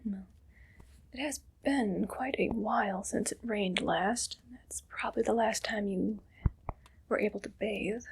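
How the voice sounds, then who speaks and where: A woman playing a haughty, condescending evil queen in a whispered ASMR roleplay, slow and disdainful, with a breathy voice. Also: mid-range voice. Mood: disgusted, condescending.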